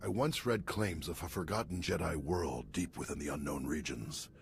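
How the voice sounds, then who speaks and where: A man playing a calm, wise old Jedi master in a video game, speaking in a measured, formal and deliberate way. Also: rumbling low, vocal fry, a little hiss or hum. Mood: grave, thoughtful. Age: older. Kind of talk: video game cutscene voice acting. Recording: music behind the voice.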